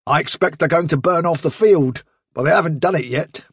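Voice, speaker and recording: A hearty, confident rabbit warrior from a storybook , read by a man, British and bluff. The voice is gravelly and a bit husky, with chummy, plain-spoken delivery. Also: fairly high pitch, a little fast, dry recording, clean studio sound. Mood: cheerful, musing.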